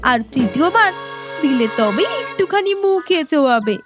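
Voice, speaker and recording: A woman's voice: high-pitched, very animated, a little slow, music in the background. A woman playing a worldly-wise young sister-in-law with a Bengali accent, mocking and instructing in a comedy audio drama. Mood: teasing, instructive.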